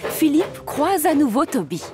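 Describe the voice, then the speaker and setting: Woman narrator, children's story, animated intonation, music behind. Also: sits high, unhurried.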